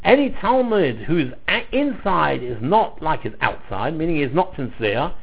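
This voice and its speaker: A man's voice: on the high side, big pitch swings, normal speed, gravelly, breathy edge, phone-quality sound. A man teaching a religious text line by line, reading and translating, animatedly explaining, repeating phrases and stumbling over words. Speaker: Talmud teacher.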